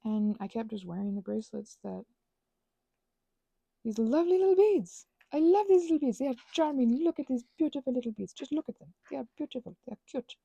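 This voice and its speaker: A woman with a heavily creaky, husky voice rambles casually in a playful ASMR style. Her pitch swings around as she interrupts herself with asides. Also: expressive, brisk, warm tone, dry recording, low-quality recording. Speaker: ASMR and audio creator.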